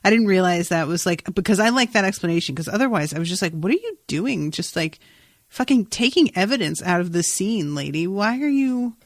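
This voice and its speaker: A woman hosts a casual podcast with a mid-pitched voice in a very dry recording. She retells events in chatty, expressive fashion, swearing freely and speaking as if among friends. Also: a little fast, dry recording. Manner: informal, sweary, expressive storytelling. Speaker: podcast host. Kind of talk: casual chat, recapping a TV episode.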